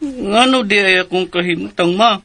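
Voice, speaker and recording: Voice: mid-range voice, normal speed, sharp tone. An actor playing a childlike, insistent son in a radio drama, with a Cebuano Filipino accent and a naive manner. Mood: naive, questioning. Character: childlike, excitable son with a developmental condition. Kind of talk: Philippine radio drama acting. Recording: music behind the voice.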